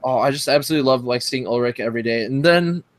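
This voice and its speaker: A man's voice: mid-range voice, quick pace, no echo. A young man guest in a casual group chat, rambling nostalgically and restarting his sentences.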